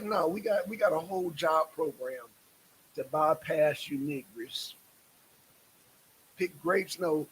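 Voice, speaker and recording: A man's voice: a little high, fairly bright tone, slightly rough, poor audio. A man hosting a casual live stream, speaking in a repetitive, emphatic and exasperated way.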